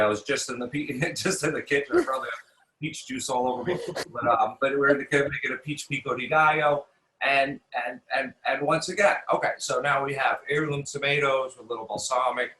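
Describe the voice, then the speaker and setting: A man's voice: mid-range voice, brisk, sharp tone. An American man from New York City talking casually as a chef being interviewed, often repeating himself and restarting his sentences. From New York City, United States. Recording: roomy echo.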